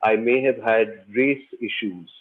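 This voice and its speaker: A man who grew up on the subcontinent, an actor giving measured, thoughtful interview answers. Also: fairly low pitch, steady tone. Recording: tinny phone-like line.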